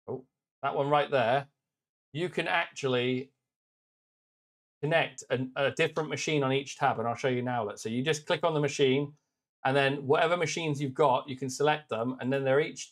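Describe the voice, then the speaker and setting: A British man with a naturally loud voice, hosting a casual live stream demo and chatting warmly with viewers. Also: mid-range voice. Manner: chatty, upbeat, greets viewers by name. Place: workshop with laser engravers.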